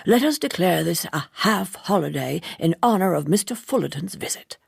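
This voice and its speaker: A woman voicing a courteous, pedantic male novelist in a slow, deliberate, ornately polite audiobook delivery. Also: fairly low pitch, animated, creaky, slightly rough, no room sound, studio quality, exaggerated voice. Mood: courteous, formal.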